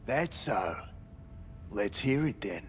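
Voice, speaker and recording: A man's voice: on the low side, very animated, takes it slowly, a little hiss or hum. An actor playing a sleazy, cynical male brothel manager in a cyberpunk video game, talking in crude, dismissive slang. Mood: guarded, scornful. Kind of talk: video game dialogue acting.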